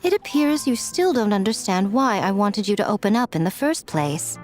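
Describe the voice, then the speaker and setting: An actress voicing a sly, self-assured, playful schemer in an anime game, with a teasing, knowing manner. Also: female voice, medium pitch, big pitch swings, normal speed, darkish tone, soft background music, close to an everyday voice.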